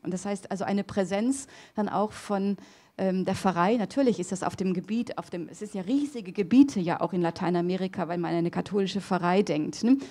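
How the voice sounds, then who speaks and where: A middle-aged woman giving an academic lecture in a hall on a handheld microphone, pausing often with fillers and restarts. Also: medium pitch, warm tone. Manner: hesitant, many fillers and restarts. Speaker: theology lecturer.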